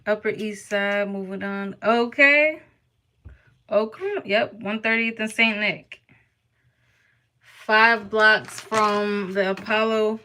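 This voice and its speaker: A woman's voice: medium pitch, fairly even intonation, slow pace, bright, forceful tone. A woman from Harlem, chatting casually and teasingly with viewers on a live stream, laughing often. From New York (Harlem).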